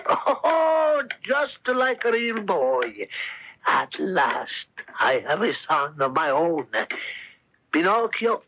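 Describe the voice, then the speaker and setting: A man playing a gentle, excitable old woodcarver and doting papa, theatrical and exclamatory, in a 1970s radio drama. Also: high-pitched, extremely slow, exaggerated voice, low-quality recording. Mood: amazed, delighted.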